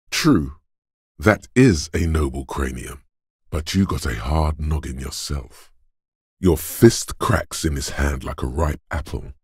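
A man voicing the gruff, macho inner voice of the body in a video game, narrating in the second person with slow, weighty, goading delivery. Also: darkish tone, no room sound, clean studio sound, exaggerated voice. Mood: grave, pained. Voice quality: husky, gravelly bass.

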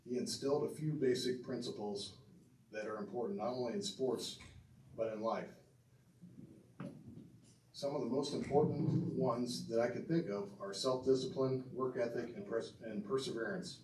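A man's voice: mid-range voice, moderate pace, a little mellow. A middle-aged man gives an earnest tribute speech from a podium in a banquet room, reading from notes with fillers and restarts. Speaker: speaker inducting his father into a hall of fame. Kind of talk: prepared tribute speech with asides to the audience. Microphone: podium mic heard from a far camera. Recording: roomy and distant with faint room noise.

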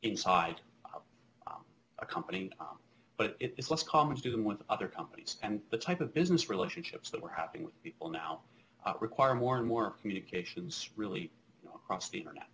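A low-voiced man in his thirties talks through a keynote over a webcam from a small office, loose and conversational, full of ums and restarts. Faint hiss sits under the video-call audio. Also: expressive, fast talker, fairly bright tone, rough recording. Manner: conversational, many fillers and restarts. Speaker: keynote speaker. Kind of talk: live conference keynote over video link.

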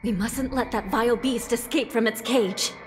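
An actress playing a stern, composed prison judge in a video game, formal and authoritative. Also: female voice, a little high, moderate pace, natural voice. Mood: commanding. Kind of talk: dramatic video game voice acting. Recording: long reverb, music behind. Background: game music.

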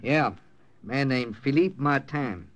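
An actor playing a terse, commanding American wartime intelligence captain with an American accent in an old-time radio drama. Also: male voice, mid-range voice, measured pace, fairly bright tone, lightly acted. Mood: firm, urgent. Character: hard-boiled American OSS captain narrating his wartime mission. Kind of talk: 1940s-50s radio drama narration and acting. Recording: vintage radio drama with music.

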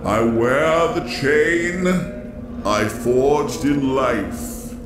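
An actor in an audio drama playing the mournful, menacing ghost of a dead Victorian businessman, solemn and theatrical. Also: medium pitch. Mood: grave, sorrowful. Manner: slow, solemn, drawn-out theatrical delivery. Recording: echoing voice over noisy eerie ambience.

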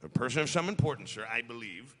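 A man's voice: mid-range voice, animated, quick pace, fairly bright tone, in a large hall. A man voicing a rambling talk-show host as a fussy robot butler, stammering and repeating phrases in a comic live reading. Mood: rambling, chummy. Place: large convention hall panel stage. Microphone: microphone on table stand.

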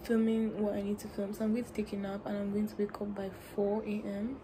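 A woman in her twenties with a Nigerian accent, chatting casually into a phone held at arm's length in her bedroom, animated and self-mocking, sounding sleepy and tired. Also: mid-range voice, fairly quick, noisy background. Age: 20s. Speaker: vlogger. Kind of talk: casual selfie vlog chat. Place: small bedroom indoors.

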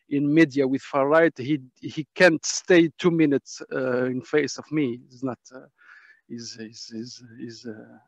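Halting non-native man on a muffled call. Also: a little high, no room sound. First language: not English. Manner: halting, searching for words in broken English. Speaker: panel speaker. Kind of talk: informal spoken testimony in a webinar. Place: on a video call from a dim space. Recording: muffled, lo-fi video call audio.

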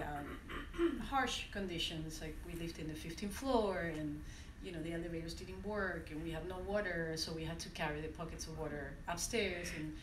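A woman from Venezuela, French her first language, gives a reflective talk at a standing mic in a university classroom. She often pauses and restarts her sentences. Her voice is fairly low and sounds roomy and distant, caught from the back of the class. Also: noisy background. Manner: reflective, with many restarts and hesitations. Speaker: conference speaker. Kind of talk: personal talk to an audience. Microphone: standing mic, recorded by a phone from the audience. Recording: echoey room from far off.

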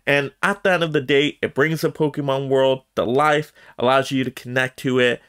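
A man's voice: mid-range voice, steady tone, normal speed, fairly bright tone, clean tone. A man in his thirties hosting an enthusiastic, emphatic countdown movie review to camera in a room at home. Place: small room at home lined with shelves of collectibles, indoors.

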